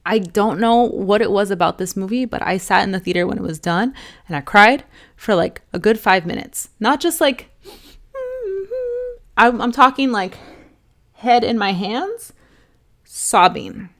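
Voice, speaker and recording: A young woman vlogger casually reviewing films to camera, rambling with lots of fillers and restarts, a little hoarse. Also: mid-range voice, unhurried, pauses often, fairly bright tone. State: slightly hoarse. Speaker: film vlogger ranking movies.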